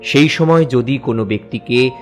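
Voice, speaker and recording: A man narrating a first-person horror story for a cartoon in a measured storytelling manner. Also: medium pitch. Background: faint background music.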